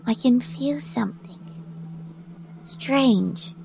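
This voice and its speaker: An actress playing a resolute, composed rescuer in an audio drama, measured and creaky-voiced. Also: female voice, a little high, in a whisper, heavily acted, poor audio. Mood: calm, probing. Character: resolute, composed female rescuer questioning a child gently.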